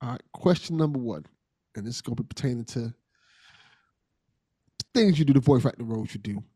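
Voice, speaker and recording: A man's voice: mid-range voice, animated, fairly quick, warm tone, husky, no room sound. An American man hosting a podcast in a casual, conversational style with a jokey, informal manner. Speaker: podcast host.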